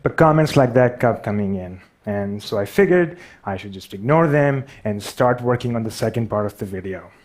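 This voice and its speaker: A man's voice: lowish voice, animated, moderate pace, few pauses. A man with a Pakistani accent tells personal stories as a public speaker, in a self-deprecating manner that halts in places. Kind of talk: personal storytelling talk to an audience.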